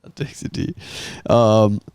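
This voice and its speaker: A man with a low, mellow voice hosts a sports chat show. He sounds blokey and casual, reading out comments and slipping into slang, recorded close and dry. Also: unhurried, clean tone, dry recording. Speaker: podcast host.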